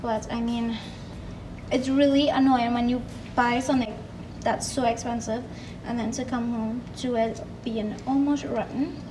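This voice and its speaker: A young Trinidadian woman with a fairly high voice talks casually through her cooking, as a vlogger does. She is recorded a little away from the microphone in a home kitchen, with clear noise behind her. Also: steady tone, unhurried. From Trinidad and Tobago. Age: 20s. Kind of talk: casual cooking vlog narration. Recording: noisy kitchen, distant microphone.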